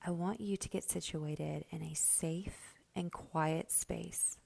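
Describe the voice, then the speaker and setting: A woman leads a guided meditation very slowly and gently, leaving long pauses between instructions. Her voice is soft and mellow, often nearly whispered, with a noticeable fry at the edges. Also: fairly low pitch, fairly even intonation. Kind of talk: slow guided meditation narration.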